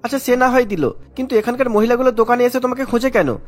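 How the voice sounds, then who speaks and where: A man's voice: extremely high pitch, rapid-fire. A man playing a modest, suspicious shopkeeper husband in a cartoon, questioning in a troubled way. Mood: worried. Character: worried, honest small snack-shop husband, suspicious and modest. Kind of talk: Bengali cartoon voice acting.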